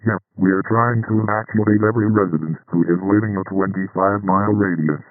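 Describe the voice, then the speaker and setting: A man's voice: low voice, fairly even intonation, brisk, dry recording. Tinny radio police chief, played by a man. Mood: businesslike. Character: male police chief giving clipped, businesslike radio orders. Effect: two-way radio. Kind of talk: radio dispatch talk. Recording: tinny, narrowband two-way radio.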